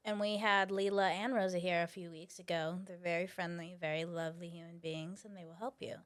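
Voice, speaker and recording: A woman's voice: fairly low pitch, steady tone, a little fast. A woman hosting a casual interview, chatty and encouraging toward her guest. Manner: chatty and encouraging, laughs often. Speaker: interview host.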